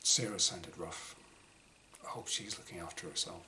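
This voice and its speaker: Male author, bright forceful voice, dramatic story reading, clipped phrasing, background noise. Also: low voice, fairly even intonation, normal speed. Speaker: author reading his own short story. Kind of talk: dramatic first-person short story reading, diary entries. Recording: clearly noisy room ambience.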